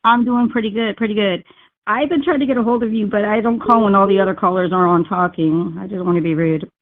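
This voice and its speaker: A woman calls in over a thin, tinny phone line. She talks in a chatty, rambling way, full of fillers. Her pitch sits in the middle of the range. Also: steady tone, fast. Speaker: caller.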